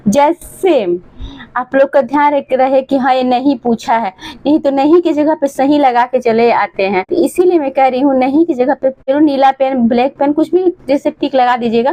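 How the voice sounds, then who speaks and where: A woman teacher with a hoarse throat, reading exam questions aloud and giving the answers to her students. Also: sits high, warm tone, poor audio. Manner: addresses students fondly, reads questions then answers.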